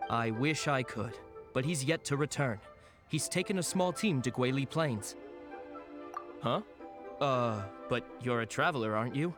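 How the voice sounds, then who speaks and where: A man's voice: mid-range voice, unhurried, long pauses, music in the background, natural voice. A man voicing a formal, courteous government official in a video game. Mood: apologetic, hopeful. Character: formal, measured male government official, politely helpful.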